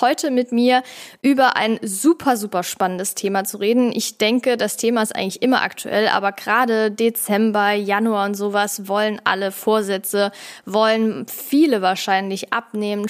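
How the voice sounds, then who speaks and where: A woman hosting a podcast in a conversational style, speaking with enthusiasm and repeating words for emphasis. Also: mid-range voice. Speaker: podcast host.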